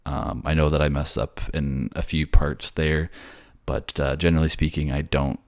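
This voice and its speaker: Very deep, creaky-voiced man. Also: flat delivery, normal speed, no room sound, clean studio sound. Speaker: voice actor and narrator. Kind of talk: soft-spoken story narration and casual outro.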